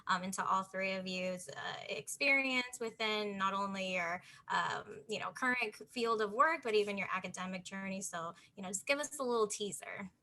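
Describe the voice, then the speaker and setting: A woman with a bright, clear voice moderates a panel with upbeat, chatty enthusiasm. She speaks over a video call at an easy, everyday pitch. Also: moderate pace, few pauses. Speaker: host, moderator.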